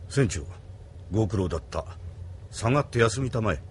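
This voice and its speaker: An actor playing a stern older admiral who speaks formally and with authority in a Japanese anime audio drama. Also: male voice, lowish voice, expressive, moderate pace, slight background noise, lightly acted. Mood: formal, courteous.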